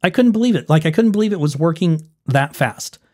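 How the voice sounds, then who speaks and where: A man hosting a video, talking casually to his viewers with a slightly hoarse voice, rambling and correcting himself as he explains. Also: fairly high pitch, lively intonation, fairly quick, darkish tone. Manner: rambling, self-correcting, addresses viewers as folks. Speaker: video host.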